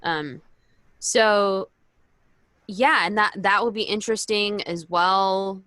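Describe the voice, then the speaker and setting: A woman's voice: mid-range voice, slow, pushed and bright, no echo. A woman co-hosting a podcast in casual chat, speaking informally and with enthusiasm. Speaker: podcast co-host.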